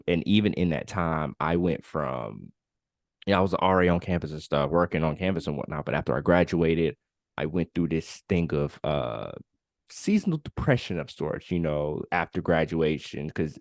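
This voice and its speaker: A man in his late twenties co-hosting a podcast, telling his story in a relaxed, candid way with lots of filler words. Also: very deep voice, dry recording, studio quality. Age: 20s. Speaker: podcast co-host. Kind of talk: casual conversational podcast chat.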